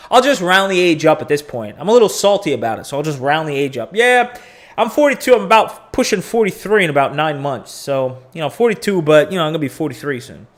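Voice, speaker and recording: A man's voice: fairly high pitch, lively intonation, fast. A man in his forties hosting a crypto live stream, talking casually and candidly, rambling with profane asides. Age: 40s. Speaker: crypto podcast host.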